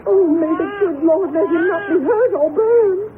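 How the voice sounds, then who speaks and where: A woman playing a middle-aged wife who longs for a child, speaking in a breathless, emotional, halting way in a radio drama. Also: very high voice. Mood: tender, urgent. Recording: muffled lo-fi radio broadcast with noise.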